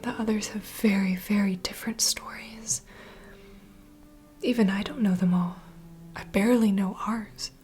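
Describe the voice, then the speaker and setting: A woman playing a knowing, secretive vampire bartender in an ASMR roleplay, speaking in a soft breathy whisper as an unhurried, gentle mentor. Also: medium pitch, steady tone, faint music behind, close to an everyday voice. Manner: unhurried, confiding storyteller. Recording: close whisper over clear ambient noise.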